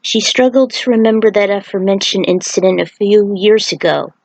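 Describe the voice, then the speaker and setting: A woman's voice: mid-range voice, a little fast, a little mellow, no echo, low-quality recording. A woman reading a scripted story aloud in a dramatized way, with the odd aside. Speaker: fan fiction reader.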